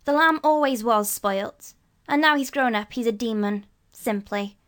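A woman's voice: on the high side, unhurried, a bit bright. A woman playing a blunt, scornful schoolboy in a storybook, a bossy and miserable older brother. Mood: scornful misery. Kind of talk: storybook dialogue acting.

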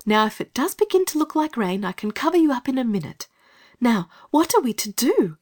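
A man's voice: very high voice, very animated, brisk, no echo, clean studio sound, voice pushed up, heavily acted. A man voicing an earnest, caring young schoolgirl in a storybook reading. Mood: comforting. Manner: earnest, gentle, anxious to organise.

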